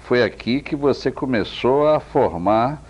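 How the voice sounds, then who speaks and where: A man's voice: mid-range voice, expressive, measured pace, darkish tone. A Brazilian man hosting a chatty TV interview, effusive and addressing the viewers as friends. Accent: Brazilian Portuguese. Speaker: interviewer and show host. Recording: dull lo-fi TV sound.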